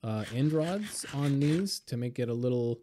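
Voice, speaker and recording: A man talking casually over gameplay, hoarse and a little raspy from being sick, rambling with lots of fillers. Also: medium pitch, moderate pace, slight background noise. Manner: relaxed, rambling, many fillers. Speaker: game video creator.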